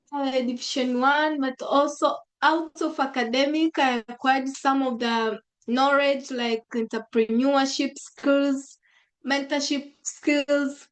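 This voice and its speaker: High-voiced young woman. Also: rough recording. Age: about 20. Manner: step-by-step account in short plain sentences. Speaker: school graduate. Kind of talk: webinar testimony.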